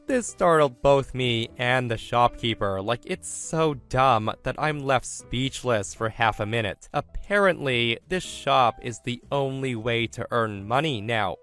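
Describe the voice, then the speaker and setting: A man hosting and narrating online stories in a chatty, animated style with casual commentary. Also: mid-range voice, a little slow, hardly any pauses, faint music behind. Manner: chatty, acts out quoted dialogue. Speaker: host and narrator of online story videos.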